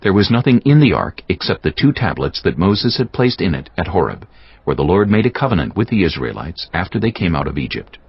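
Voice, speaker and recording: A man narrating scripture from a script in a measured, formal manner. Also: deep voice, very animated, vocal fry, slightly rough, no echo. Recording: slightly dull audio with music bed.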